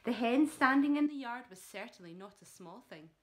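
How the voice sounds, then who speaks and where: A woman's voice: on the high side, animated, normal speed, a bit bright. A woman reading a children's story aloud like a primary teacher, with dramatic emphasis, speaking directly to young listeners.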